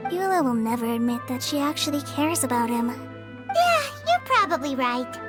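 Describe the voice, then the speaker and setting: Tiny fairy sidekick, squeaky high, lively, cute, chatty, anime game, loud music. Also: female voice, normal speed. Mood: teasing. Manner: cute, exclamatory, refers to self by name. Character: tiny floating childlike fairy companion, chatty and excitable. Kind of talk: anime video game character acting.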